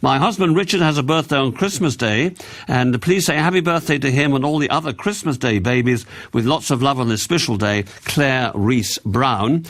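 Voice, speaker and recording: A man's voice: medium pitch, normal speed, fairly bright tone. A male radio host with a mid-range voice talks to his listeners and reads their messages. He keeps it chatty, dropping in jokey asides and questions.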